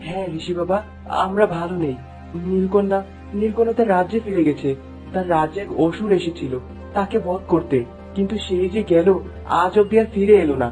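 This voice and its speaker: A man's voice: high-pitched, fairly quick, dark tone, soft background music, close to an everyday voice. A man voicing an anxious, determined young prince in a fairy-tale cartoon, respectful and pleading. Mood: earnest.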